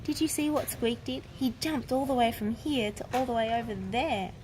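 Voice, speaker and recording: A woman's voice: a little high, moderate pace, noisy background, pitched above the performer's own voice, natural voice. A woman voicing an eager, earnest little girl in a storybook, with childlike, emphatic wording. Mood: excited.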